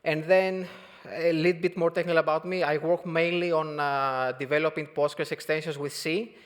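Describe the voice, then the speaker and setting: A man in his thirties, from Albania and raised in Greece, giving a relaxed technical talk at a conference, halting often with fillers and restarts. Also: on the high side. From Albania, grew up in Greece. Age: 30s. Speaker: conference speaker. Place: conference hall.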